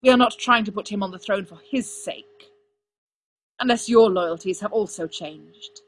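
A woman's voice: medium pitch, normal speed, slight background noise, close to an everyday voice. A woman voicing a hard, angry older king and father, stern and accusing, in a fantasy audiobook. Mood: snapping, suspicious.

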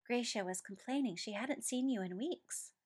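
A woman's voice: a little high, moderate pace, husky. A woman reading aloud gives voice to a cheerful male officer who breezes into the scene. He talks in a chatty, light way. He sounds fond of his family. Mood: breezy.

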